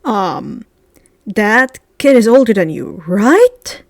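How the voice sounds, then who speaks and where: A woman's voice: medium pitch, sing-song, takes it slowly, gravelly, no room sound, clean studio sound, voice pushed down, full character voice. A woman voicing an inquisitive, studious teenage witch girl in a storybook. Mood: confused.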